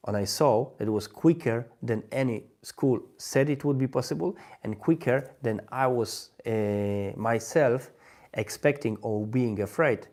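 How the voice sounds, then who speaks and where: A man with a Polish accent, a native Polish speaker, hosting a live stream in English and talking in a halting way with many restarts as he searches for words. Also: medium pitch, darkish tone. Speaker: language-learning livestream host.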